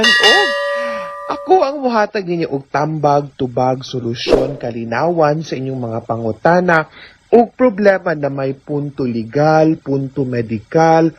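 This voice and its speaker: A woman's voice: low voice, lively intonation, a little slow, noisy background. A woman with a Cebuano accent hosting a radio advice show, chatty and conversational, with asides and tag questions. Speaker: radio advice host, attorney. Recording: dull lo-fi radio recording.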